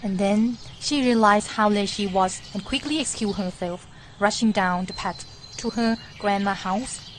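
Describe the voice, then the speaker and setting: A woman's voice: mid-range voice, measured pace, a little hiss or hum, poor audio. A woman narrating a scripted children's story as moderator.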